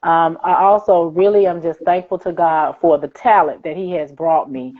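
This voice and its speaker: A woman's voice: fairly low pitch, steady tone, normal speed. A woman speaking as a playwright and interview guest, giving emphatic thanks and repeating words for stress. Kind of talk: thank-you remarks on a live interview show. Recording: thin phone-like video-call audio.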